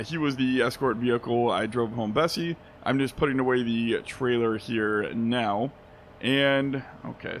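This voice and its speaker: A hoarse young man, rambling through casual gameplay commentary from a spare-bedroom home studio, chuckling and correcting himself as he goes. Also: medium pitch, a bit bright, a little hiss or hum. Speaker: let's play host.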